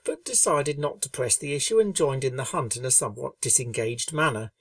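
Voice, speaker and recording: Low, gravelly woman reading a story. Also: dry recording, recorded from a distance. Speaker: reader. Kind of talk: storybook narration.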